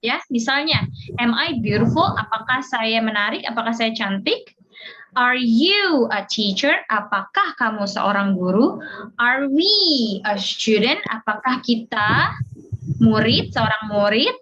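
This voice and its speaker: A woman's voice: a little high, expressive, a little slow, pushed and bright. A woman with an Indonesian accent teaching English grammar informally, explaining and repeating example sentences. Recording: dull audio with clear background noise.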